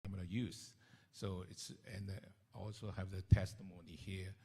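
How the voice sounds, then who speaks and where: A man with a Mandarin accent, a council member giving a halting speech at a community event, searching for his words. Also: sits low, little pitch movement, warm tone, slightly rough.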